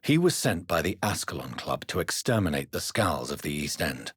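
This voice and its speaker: A grave physician turned vampire in a period video game. His voice sits very low and has a husky edge. He speaks in formal, articulate phrases with expressive rises and falls, earnest and courteous. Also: normal speed, no room sound, studio quality, full character voice. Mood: grim. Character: grave, courteous male doctor turned vampire, 1910s London. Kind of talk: dramatic video game dialogue.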